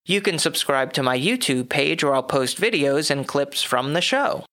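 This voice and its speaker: A man hosting his own podcast, reading a scripted movie review with dry, wry asides, his voice a little hoarse from a cough. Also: fairly high pitch, lively intonation, moderate pace, sharp tone. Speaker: podcast host.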